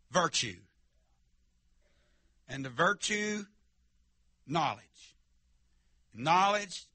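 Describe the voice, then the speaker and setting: A man preaching a fiery holiness sermon, with stammering restarts and shouts to the congregation. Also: high voice, dry recording. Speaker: pastor. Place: church sanctuary.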